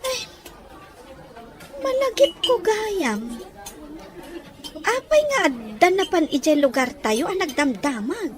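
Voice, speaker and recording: A woman's voice: very high-pitched, sing-song, slow, pauses often, a faint crowd, heavily acted. A woman playing a curious young woman in an audio drama, with an eager, questioning manner. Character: curious, inquisitive young woman fishing for information. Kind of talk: amateur audio drama acting.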